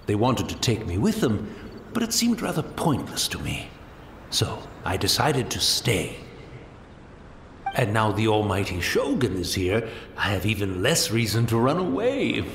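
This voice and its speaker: Elderly game retainer, courteous, wistful, philosophical, lively pitch swings, roomy echo. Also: male voice, creaky, heavily acted. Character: serene, humble elderly blind male tea master, retainer. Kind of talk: anime-style video game voice acting. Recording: roomy echo, faint ambient noise.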